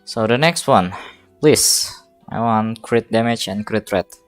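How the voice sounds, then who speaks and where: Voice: mid-range voice, measured pace, no echo. A game streamer chatting casually and hesitantly, muttering to themselves during a live stream. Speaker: game livestreamer. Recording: music playing behind the voice. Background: game music.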